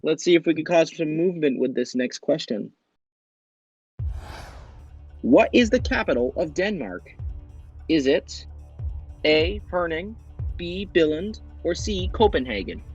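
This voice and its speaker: A man hosting an amateur quiz show, upbeat and brisk as he announces questions. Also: on the high side, sing-song, a little mellow, breathy edge, lo-fi sound, faint music behind.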